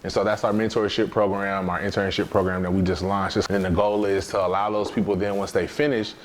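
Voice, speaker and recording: A man's voice: low-pitched, little pitch movement, fairly quick, slight background noise. A man giving conversational interview answers as a small business owner.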